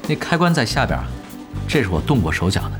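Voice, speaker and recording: Casting: actor as cocky, easygoing young male gaming veteran, audio drama, brisk and varied delivery, music behind. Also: medium pitch, sing-song, close to an everyday voice. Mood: self-assured. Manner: casual, teasing, self-assured.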